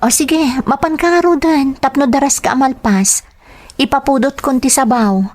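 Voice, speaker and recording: An actress with a Filipino Ilocano accent playing a dutiful, soft-spoken young wife in an audio drama. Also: female voice, sits high, moderate pace, lightly acted. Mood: caring. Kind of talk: radio-style drama acting.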